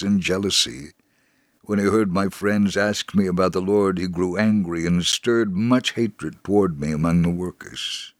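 A man giving a scripted dramatic reading of a first-person story in a measured, earnest way. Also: lowish voice, no room sound, clean studio sound. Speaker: dramatic reader of a testimony.

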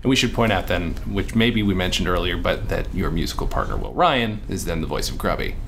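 A man hosting a podcast in a fairly low voice. He is chatty and enthusiastic, and he keeps reacting and throwing in playful asides as he talks. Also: big pitch swings, a little fast, creaky, fairly bright tone, slight background noise. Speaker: podcast host. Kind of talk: casual interview chat.

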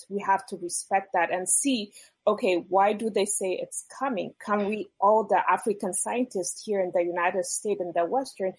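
A woman's voice: mid-range voice, a little mellow, husky, no echo, low-quality recording. A woman with an Ethiopian accent, a guest scientist giving opinions in an interview in an insistent way.

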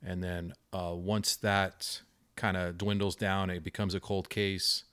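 Male podcast co-host, lowish voice, closely and dryly recorded, casual film talk. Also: little pitch movement, measured pace, fairly bright tone, clear, resonant tone, no echo, clean studio sound.

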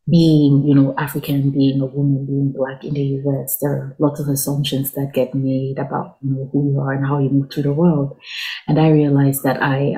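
A woman's voice: very deep voice, gravelly, warm tone, low-quality recording. A woman from Cameroon, speaking thoughtfully as an interview guest over an online call. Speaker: guest, writer and researcher. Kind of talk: conversational interview. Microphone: video call.

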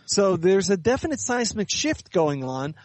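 A man giving measured, articulate, explanatory answers as an author and editor being interviewed in conversation. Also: high-pitched, big pitch swings, a little mellow, dry recording.

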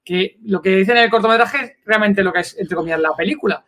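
Fast-talking, high-pitched Spanish man. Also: a bit bright. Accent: Spanish from Spain. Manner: chatty, rambling, searches for words. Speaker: podcast host. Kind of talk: casual film chat.

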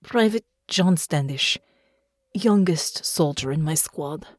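Woman acting as foreign woman veteran, audiobook character, haunted, weary, halting, tender underneath, slow, dry recording. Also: deep voice, lively intonation, no room sound, exaggerated voice. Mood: wistful. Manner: weary, halting, clipped phrasing with dropped articles.

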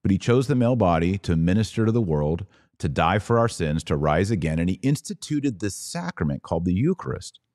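A man hosting a podcast, giving a religious explanatory monologue in a didactic, emphatic way, pausing and repeating words for stress. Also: low-pitched, sing-song, a little mellow, no room sound, clean studio sound. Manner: didactic, emphatic, with deliberate pauses and repetition for stress. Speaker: podcast host.

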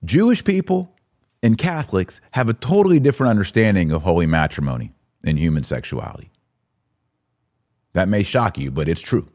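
A man's voice: low-pitched, big pitch swings, brisk, a little mellow. A man hosting a podcast, giving opinionated live commentary in an emphatic way, with rhetorical questions and asides to his listeners. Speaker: podcast host.

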